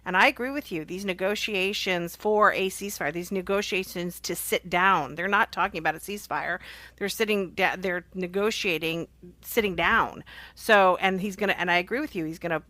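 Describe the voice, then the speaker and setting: A woman's voice: fairly low pitch, moderate pace, pushed and bright, no room sound. A woman hosting a conversational news interview on radio, rephrasing her points as she works toward a question.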